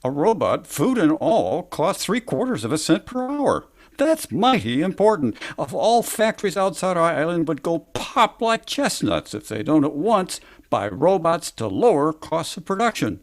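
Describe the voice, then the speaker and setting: An actor plays a businesslike male factory manager in a vintage-style radio play. He is emphatic and profit-minded, with a highish voice that moves very widely in pitch. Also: big pitch swings, moderate pace, few pauses. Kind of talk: recreated 1930s radio drama.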